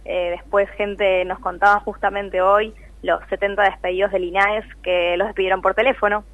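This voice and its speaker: A woman's voice: medium pitch, level tone, normal speed, no room sound, phone-quality sound. A woman with a Rioplatense Argentine accent giving earnest, detailed, conversational answers in an interview. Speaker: interviewee, layoff-tracking activist. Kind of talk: spontaneous explanatory radio interview.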